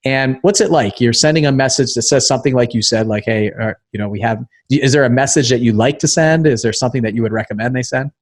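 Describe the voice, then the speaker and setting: A man's voice: medium pitch, quick pace, few pauses. A man hosting an interview, explaining and asking questions in casual conversational talk. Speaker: podcast host.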